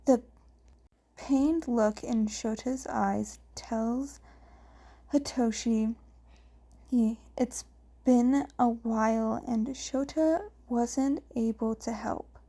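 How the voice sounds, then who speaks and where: Female fan fiction narrator, slow and halting, mellow tone, slightly high pitch. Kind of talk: scripted narration of a story.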